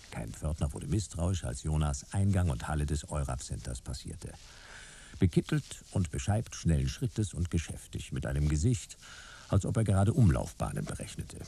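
An actor playing a hard-boiled private detective who narrates a sci-fi radio play in terse, wry, dramatic fragments. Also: male voice, a bass voice, very animated, moderate pace, vocal fry, dark tone, slight background noise, heavily acted. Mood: wry, matter-of-fact.

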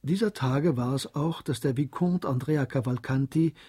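A man's voice: mid-range voice, normal speed, warm tone, slightly rough, no room sound. A man giving a scripted, literary narration for a radio drama.

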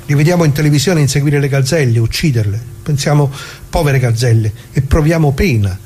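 An actor playing an elderly, plain-spoken working-class man from northern Italy in a conversational monologue, talking haltingly and colloquially straight to listeners. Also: mid-range voice, darkish tone, breathy edge, lightly acted. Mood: anguished disbelief. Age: older.

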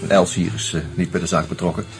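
An actor playing a sociable, teasing screenwriter in a Dutch radio drama, speaking in a conversational, hesitant, confiding way. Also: male voice, low-pitched, little pitch movement, fast, soft background music. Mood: earnest, confiding. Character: sociable, teasing screenwriter sharing his suspicions over drinks.

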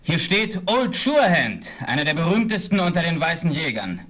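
A man's voice: fairly high pitch, very animated, moderate pace, a bit bright. An actor playing a proud, eager western plainsman who announces things with a flourish in an audio drama. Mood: proud excitement. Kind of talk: 1970s German western audio drama acting.

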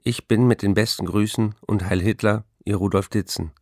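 A driven male novelist in a radio play, low-voiced and recorded very dry. He speaks with precise, emphatic defiance, as if every sentence were law. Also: fairly even intonation, moderate pace, no room sound. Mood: indignant.

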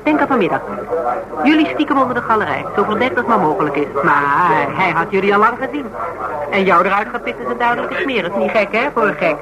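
A woman's voice: medium pitch, lively intonation, fast talker, over a noisy crowd, close to an everyday voice, rough recording. Actress as an outspoken, stubborn Dutch woman arguing. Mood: insistent. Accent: Netherlands Dutch. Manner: emphatic, argumentative, colloquial, teasing. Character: outspoken woman reasoning stubbornly with a fanatic cop. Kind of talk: naturalistic radio drama dialogue.

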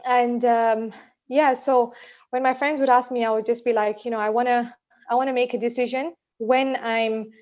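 Young female podcast co-host, bright-ish voice, casual storyteller, lo-fi dull recording. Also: fairly high pitch, steady tone, moderate pace. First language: not Urdu. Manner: chatty, many fillers and "you know what I mean".

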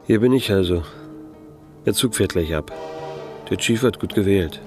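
A man's voice: sits low, normal speed, over music, close to an everyday voice. An actor playing a disillusioned, wry male private detective in a noir radio drama, speaking in a dry, laconic manner. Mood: wry, reflective. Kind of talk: noir radio drama, first-person narration.

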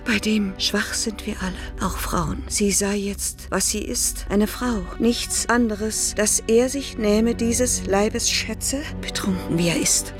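An actress as a solemn, resolute woman in a poetic radio play. She tells an ancient tale in halting, repeated phrases with a hypnotic, incantatory pull. Music plays with her voice. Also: mid-range voice, lightly acted. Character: solemn, resolute woman retelling a biblical killing. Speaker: narrating actress in a radio drama. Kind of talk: poetic radio drama narration.

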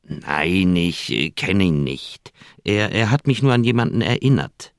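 Radio crime drama: evasive old doctor, low voice with wide swings, hesitant and pleading. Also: male voice, expressive, measured pace, gravelly, no room sound, studio quality, heavily acted. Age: older. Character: uneasy, evasive, well-meaning old male doctor.

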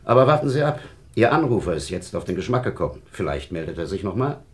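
Radio-drama prosecutor, low male voice, swooping intonation, brisk, sceptical, patronising. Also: very animated. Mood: jovial, dismissive. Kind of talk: German radio crime drama acting.

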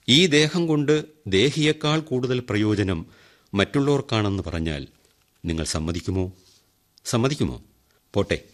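An actor playing a wry man who talks straight to the audience in a radio drama monologue. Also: on the low side. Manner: wry, chatty and teasing with the listeners. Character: ironic middle-aged man who donates a kidney. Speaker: monologue narrator in a radio play. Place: radio studio.

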